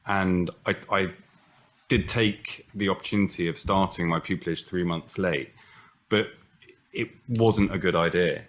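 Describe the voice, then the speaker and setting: A man with a very low voice speaks as a panel barrister. He gives candid career advice in measured sentences after a few stumbling starts. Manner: hesitant restarts, then fluent explanation.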